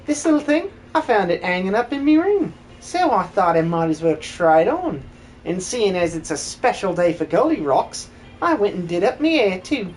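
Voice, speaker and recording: A man's voice: sits high, big pitch swings, normal speed, a little hiss or hum. A man voicing a bossy, chirpy young working-class woman in a comic game dub. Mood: chirpy, scolding.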